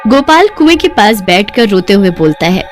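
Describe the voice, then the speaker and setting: A female narrator tells a scripted cartoon story. Her voice sits at a medium pitch, with background music running underneath. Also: big pitch swings, moderate pace.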